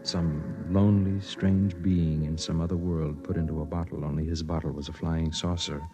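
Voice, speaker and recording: Lonely gentle american man, really deep voice, tender n measured, old 50s radio play w music. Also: flat delivery. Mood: tender, wistful. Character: lonely, gentle man narrating and playing himself. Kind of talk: 1950s American radio drama acting. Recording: vintage 1950s radio with background music.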